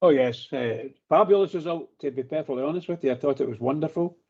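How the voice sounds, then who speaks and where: A hoarse older man chatting as a pundit on a fan radio phone-in, hesitant with many fillers. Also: fairly high pitch, quick pace. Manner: hesitant, warm-hearted, lots of uh fillers. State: hoarse from shouting. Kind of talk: casual phone-in chat. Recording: muffled phone-in line.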